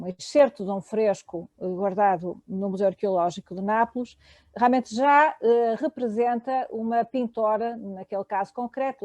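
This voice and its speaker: A woman with a European Portuguese accent giving an academic talk on a video call, pausing often with fillers. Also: mid-range voice, dark tone, no echo. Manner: hesitant, many fillers. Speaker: panel speaker.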